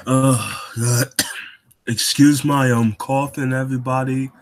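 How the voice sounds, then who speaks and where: A young man hosting a casual talk show on his own, getting over a cold and coughing now and then, halting with lots of ums and restarts. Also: medium pitch, flat delivery. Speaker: wrestling show host.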